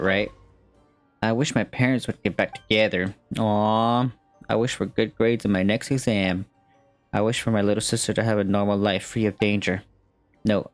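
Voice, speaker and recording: Male gaming host, lowish voice, informal and slangy, casual commentary over faint game music. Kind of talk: casual gameplay commentary, reading game text aloud.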